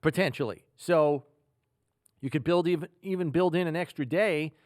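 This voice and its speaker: A man with an American accent hosting a football podcast, talking through statistics in a measured, analytical, conversational way. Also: a little high, no room sound, clean studio sound. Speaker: sports podcast host.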